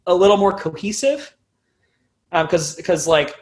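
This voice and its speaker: A man's voice: high-pitched, expressive, measured pace, darkish tone. A man in his twenties chatting casually as a musician being interviewed, rambling and self-deprecating. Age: 20s. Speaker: podcast guest, band musician.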